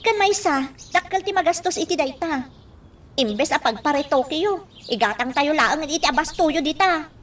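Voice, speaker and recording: A woman's voice: sits high, expressive, moderate pace, fairly bright tone. An actress playing a worried young daughter who cautions her mother in an Ilocano radio drama. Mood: anxious, reproachful. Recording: distant mic, faint background noise.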